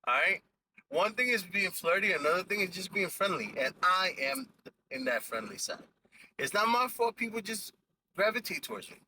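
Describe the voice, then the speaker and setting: A man's voice: high voice, animated, normal speed, bright, forceful tone, slight background noise, poor audio. A young man from Ecuador hosting a casual podcast from a car, joking and mixing English and Spanish. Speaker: podcast host.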